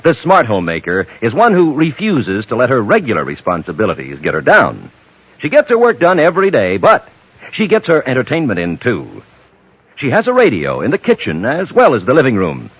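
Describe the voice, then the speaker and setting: A man's voice: medium pitch, huge pitch swings, a little fast, slightly rough, no echo. An American man working as a 1950s radio announcer, reading a script with polished, emphatic, dramatic phrasing. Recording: muffled old radio broadcast, loud music.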